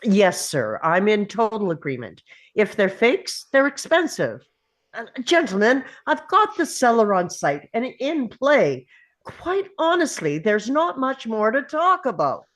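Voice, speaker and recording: A woman playing a brisk, confident CIA officer arguing her case at a script table read, assertive and emphatic. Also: a little high, big pitch swings. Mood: confident, insistent.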